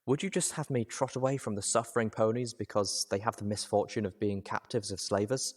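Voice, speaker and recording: A man voicing a poised, cool-headed female pony in a story reading, speaking in a measured and gently reproving way. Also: lowish voice, steady tone, lightly acted. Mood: pained, resigned.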